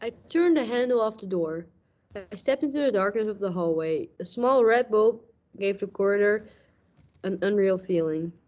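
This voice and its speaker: A woman's voice: mid-range voice, animated, close to an everyday voice. A woman playing a brooding, hard-boiled police detective who narrates a radio mystery dramatically. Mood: tense, suspenseful. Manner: dramatic, deliberate storytelling. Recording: dull lo-fi audio.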